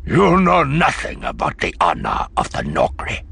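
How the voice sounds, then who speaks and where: A stern alien clan elder in an audiobook story. The voice is very low, forceful and gravelly, delivering commands slowly with contempt and menace. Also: male voice, sing-song, exaggerated voice. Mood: contemptuous. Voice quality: gravelly snarl.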